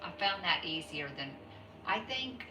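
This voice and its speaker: Low-voiced middle-aged lady rambling through a knitting livestream, off the mic in an echoey room, music behind. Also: animated, unhurried, recorded from a distance. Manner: rambling, chatty, self-interrupting. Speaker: craft livestream host. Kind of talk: casual chat while knitting. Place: home.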